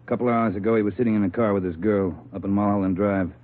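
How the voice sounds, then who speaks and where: An actor playing a gruff, impatient chief of detectives, brusque and commanding, in an old radio drama. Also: male voice, fairly low pitch, fairly even intonation, racing, natural voice. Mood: grave, brisk. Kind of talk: 1950s radio drama acting. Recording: tinny old radio recording.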